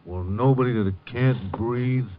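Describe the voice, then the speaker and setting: An actor playing a cruel gangster killer, taunting and bossy in tough slang, in old radio crime drama style. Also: male voice, mid-range voice, unhurried, lightly acted. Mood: sneering menace. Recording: dull old 1950 radio broadcast.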